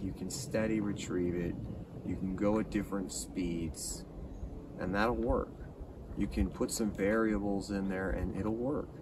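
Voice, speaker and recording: A middle-aged man hosting a fishing channel, reviewing a lure to camera in a casual, rambling, enthusiastic way while sitting outdoors in a pine forest. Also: deep voice. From California. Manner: relaxed, rambling, enthusiastic about gear. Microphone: camera at a distance. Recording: distant outdoor mic with background noise.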